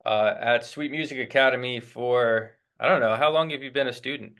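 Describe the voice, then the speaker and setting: A male host and guitar teacher interviewing one of his students in a casual, unscripted way. He speaks loosely and easily, with filler words and plenty of pauses, in a mid-range voice. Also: mid-range voice, a bit bright.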